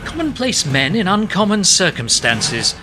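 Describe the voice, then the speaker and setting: Earnest, bright-voiced English playwright. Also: male voice, sits high, big pitch swings, unhurried, slight background noise, heavily acted. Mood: earnest, solemn. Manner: lyrical, reflective, articulate. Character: earnest, poetic 1920s English playwright, former army captain. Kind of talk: radio play acting.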